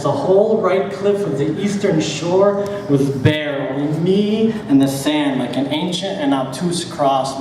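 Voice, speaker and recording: A man giving a dramatic, deliberate literary reading of first-person prose, with drawn-out pauses and rhythmic repetition. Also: medium pitch. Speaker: author reading own work aloud. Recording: very echoey hall, distant mic, background noise.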